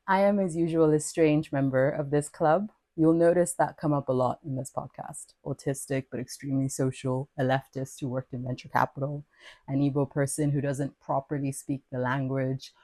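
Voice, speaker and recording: A woman's voice: low voice, a little mellow, dry recording. A woman from Nigeria hosting a podcast, reading her own lyrical personal essay in a playful, wordy, self-mocking way. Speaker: podcast host. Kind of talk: scripted personal essay narration.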